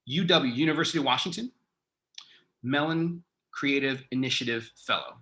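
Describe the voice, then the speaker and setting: A man's voice: mid-range voice, pushed and bright. A man hosting a casual interview, talking in an informal, enthusiastic way. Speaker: interview host, artistic director.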